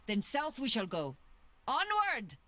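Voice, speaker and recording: An actress playing a calm, resolute noblewoman army commander in a fantasy video game, speaking in a firm, measured way. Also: a little high, no room sound, heavily acted. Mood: commanding. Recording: under loud game music.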